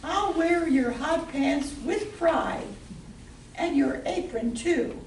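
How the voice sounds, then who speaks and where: A woman's voice: high-pitched, expressive, takes it slowly, full character voice. A woman playing a nosy, cheeky friend in an amateur comic radio play. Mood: cheeky concern. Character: nosy, cheeky female friend in bar comedy. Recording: distant echoey room, faint noise.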